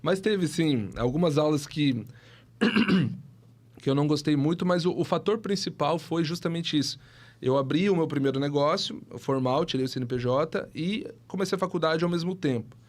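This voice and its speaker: A man's voice: fairly high pitch, moderate pace. A man of about thirty, telling his story as a podcast guest in an explanatory, conversational way, often adding little tag questions. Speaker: guest, tech entrepreneur and CEO.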